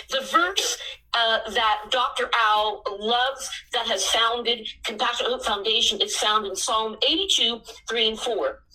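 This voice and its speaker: A woman in her sixties, a missionary, telling her story in an earnest way that bursts into excitement at times. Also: fairly high pitch, recorded from a distance, rough recording. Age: 60s.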